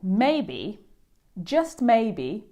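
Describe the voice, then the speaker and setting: A woman reading a children's picture book aloud as a host, with expressive, deliberate storytelling. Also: medium pitch. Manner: animated, deliberate delivery for children.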